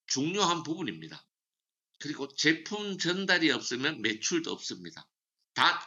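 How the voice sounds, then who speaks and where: A man's voice: mid-range voice, pushed and bright, dull audio. A man giving a motivational sales training lecture, emphatic and repeating key slogans. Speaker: seminar speaker for a sales business.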